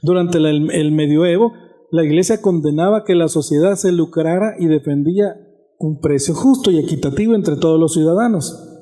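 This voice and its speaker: Male pastor, high pitch, warm mellow tone, conversational teaching, frequent restarts. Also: moderate pace. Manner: conversational, restarts phrases, asks "¿verdad?". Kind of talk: sermon teaching on church history.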